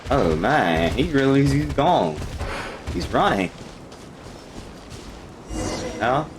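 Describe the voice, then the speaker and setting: A man's voice: medium pitch, sing-song, slow pace. A man giving casual, informal reactions as he streams himself playing a video game. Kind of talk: casual gameplay commentary. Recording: game music behind the voice.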